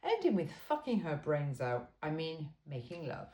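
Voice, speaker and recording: A woman reads a self-help book aloud in a slightly low voice. Her intonation moves widely up and down. The delivery is informal and blunt, with punchy emphasis on key phrases. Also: huge pitch swings, normal speed, a little mellow, a little hiss or hum.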